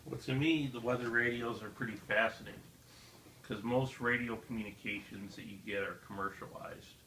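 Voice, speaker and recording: A man's voice: medium pitch, fairly even intonation, moderate pace, bright, forceful tone. A man chatting casually as a guest, explaining some history in an informal way. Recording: roomy echo with background noise.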